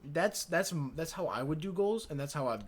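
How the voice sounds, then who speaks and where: A man's voice: on the high side, expressive, normal speed, crowd noise. A man in his late teens co-hosting a podcast, chatting casually in a rambling, slangy, repetitive way. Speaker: podcast co-host. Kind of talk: casual chat.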